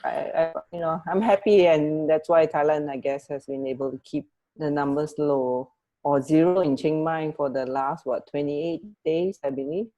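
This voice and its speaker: A woman co-hosting a radio show in casual chat, talking in a chatty, halting, rambling way. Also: deep voice, steady tone, dark tone, low-quality recording.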